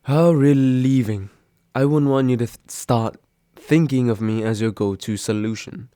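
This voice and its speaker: A man's voice: mid-range voice, unhurried, mellow, no room sound, clean studio sound, lightly acted. A young man acting a grumpy, sarcastic but secretly caring roommate woken from sleep, grumbling and sighing in an intimate roleplay audio drama. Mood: annoyed. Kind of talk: intimate roleplay audio drama, one side of a phone call.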